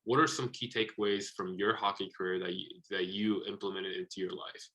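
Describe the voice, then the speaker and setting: Bright-voiced male podcast host. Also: sits low, fairly even intonation, brisk, rough recording. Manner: encouraging, long rambling questions. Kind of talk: casual interview talk.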